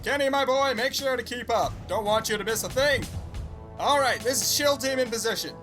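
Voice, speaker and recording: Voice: mid-range voice, a little fast, lightly acted. An actor playing a pompous, vain squad commander in an audio drama, speaking in a grandiose, commanding way. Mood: urgent, commanding. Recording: music behind the voice.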